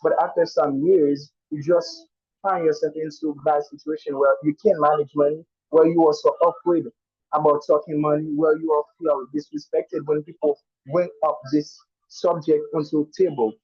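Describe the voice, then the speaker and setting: A man's voice: a little high, animated, moderate pace, slightly rough, no echo. A man whose first language is Haitian Creole, a student in an online speaking class, giving a casual answer with lots of "you know" fillers and restarts. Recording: muffled online call audio.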